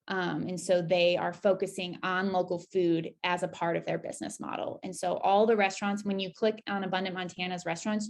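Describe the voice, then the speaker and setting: A woman with a slightly low, noticeably creaky voice walks listeners through a website demo. Her delivery is casual and conversational, with informal, upbeat asides as she explains each step. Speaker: presenter giving a website demo. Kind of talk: casual screen-share walkthrough.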